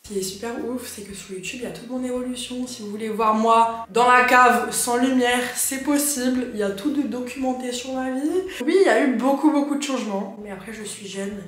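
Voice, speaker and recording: A young woman vlogging as an au pair, chatting in a lively, slangy way. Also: on the high side, fairly quick, few pauses. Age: young adult. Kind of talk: casual chat. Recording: very echoey room with music.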